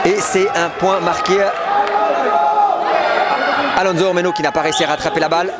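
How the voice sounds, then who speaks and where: A man giving live play-by-play commentary at a match, hesitant with fillers and rising to shouts on scoring plays. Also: sits high. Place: indoor sports hall at a match. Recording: loud crowd and heavy noise around. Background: crowd and bouncing balls.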